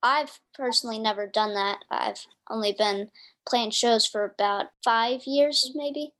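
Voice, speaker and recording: A woman's voice: on the high side, a little slow, fairly bright tone. A woman interviewing a singer in a casual conversation, asking questions and giving frequent short affirmations. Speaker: interviewer, musician.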